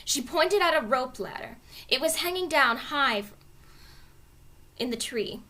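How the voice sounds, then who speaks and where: Animated, high-voiced woman reading a storybook. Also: a little slow. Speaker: story reader. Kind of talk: expressive children's storybook narration.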